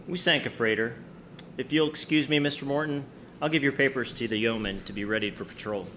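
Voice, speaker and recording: An actor playing a stern, formal submarine captain who speaks curtly in a wartime naval drama. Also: male voice, mid-range voice, brisk, bright, forceful tone, lightly acted. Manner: curt, formal, commanding. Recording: faint background noise.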